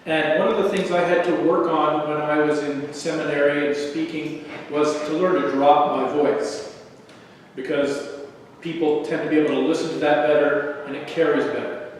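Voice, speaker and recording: A man's voice: a little high, distant mic, a little hiss or hum. Tenor man giving feedback in an echoey hall. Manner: measured, encouraging. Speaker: speech evaluator. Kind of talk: spoken feedback.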